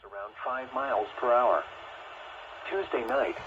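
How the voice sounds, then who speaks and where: A man's voice: mid-range voice, measured pace, muffled recording. A man reads scripted weather forecasts in a formal, impersonal way over a weather radio with noisy reception. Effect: weather radio broadcast.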